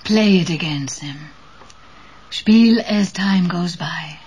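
A woman's voice: lowish voice, takes it slowly, a little mellow, slightly rough, muffled recording, exaggerated voice. An actress playing a composed, firm female client in a detective radio play. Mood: cool, playful. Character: cool, self-assured female client of a detective.

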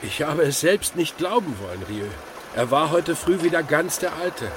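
An actor playing a quiet, steady friend of a doctor in a radio drama, polite and understated. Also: male voice, a little high, normal speed, over music, natural voice. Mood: concerned.

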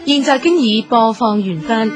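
A woman's voice: fairly high pitch, music in the background. A woman with a Hong Kong accent reading scripted radio drama credits as a formal announcer. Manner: formal, measured.

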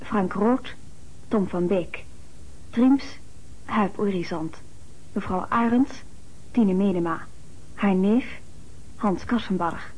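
Slow, husky female announcer. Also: mid-range voice, a little mellow, low-quality recording. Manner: measured, formal reading. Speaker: radio play announcer. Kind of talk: scripted credits announcement.

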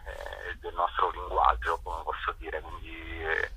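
A man interviewed on radio, reflective and halting, with many false starts. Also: very deep voice, completely flat delivery, pushed and bright, a little hiss or hum, low-quality recording. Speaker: author and actor interviewed as guest.

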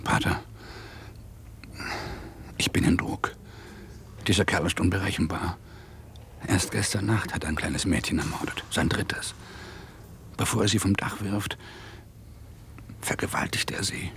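A hard-boiled police detective in a radio crime drama. The voice sits very low with a gravelly fry, over faint background noise. He sounds worn down and angry, speaking in terse, brooding phrases. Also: unhurried, long pauses, a bit bright. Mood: anguished, angry. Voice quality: deep, gravelly. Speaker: actor, narrator and lead. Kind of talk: radio crime drama, intimate first-person monologue and dialogue.

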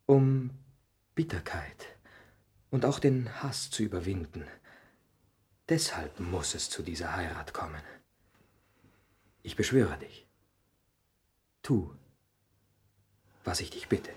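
A headstrong, earnest young son in 1960s radio drama style. The voice is very husky and fairly low, with animated intonation. He speaks with urgent, theatrical pleading. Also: slow pace, long pauses, heavily acted. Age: young adult.

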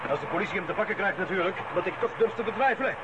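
An actor playing a hurried man calling over the noise of a train in a radio drama. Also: high-pitched, lively intonation. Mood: raised, tense. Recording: lo-fi, loud train noise behind.

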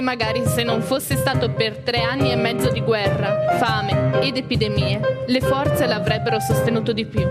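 A woman performing a bereaved war survivor in a grave, deliberate radio-theatre recitation. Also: on the high side, lightly acted. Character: bereaved young Bosnian woman recalling her uncle's flight from war. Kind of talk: dramatic literary monologue in radio theatre. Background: live music under the voice.

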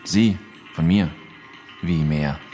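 A man's voice: deep voice, slow pace, warm tone, faint music behind. An actor playing an evasive, irritable man under questioning in a German radio drama, talking in a curt, slangy way. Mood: defensive, evasive. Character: defensive, evasive man questioned about dead classmate.

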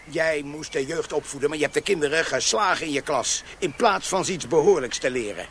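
An actor playing a fiery, defiant freethinking countryman in a radio play, forceful and accusing. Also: fairly high pitch, fairly quick, a little hiss or hum. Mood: threatening, accusing. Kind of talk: Dutch radio play acting.

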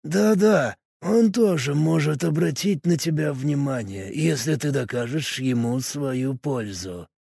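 Kaek, a man doing a creaky cult fanatic. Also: expressive, no room sound, studio quality, heavily acted. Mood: solemn preaching. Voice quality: low, creaky, husky murmur. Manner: slow, hushed, preaching delivery. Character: fanatical male cult adept, calm, solemn. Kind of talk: audiobook character voice.

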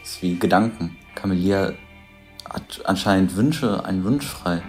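Actor as a puzzled guy saying what he's watching, really low voice, tentative, bit of music behind. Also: moderate pace, lightly acted. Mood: curious. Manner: tentative, puzzled, simple observations. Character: curious male onlooker puzzling out what he watches. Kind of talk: conversational scene description in radio drama.

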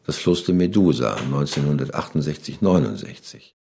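Very low, gravelly man in interview. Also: lively intonation, normal speed, a little mellow. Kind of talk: reflective interview commentary.

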